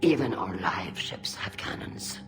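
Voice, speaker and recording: A man's voice: low-pitched, very animated, a little slow, fairly bright tone, reverberant, soft background music, exaggerated voice. An actor playing a proud, defiant male alien war admiral in a video game, with formal, declamatory delivery. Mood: resolute, defensive. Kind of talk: video game dialogue acting.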